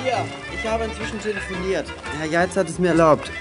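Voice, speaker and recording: A man's voice: high-pitched, a little fast, music in the background, lightly acted. A male actor playing an eager schoolboy who leads a detective gang in a children's radio play. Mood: confident, planning.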